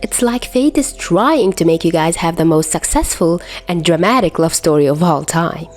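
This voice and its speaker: A woman voicing an excited, gushing, dramatic teenage schoolgirl in an audiobook-style story. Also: lowish voice, normal speed, faint music behind, lightly acted. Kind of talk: audiobook-style fan fiction dialogue.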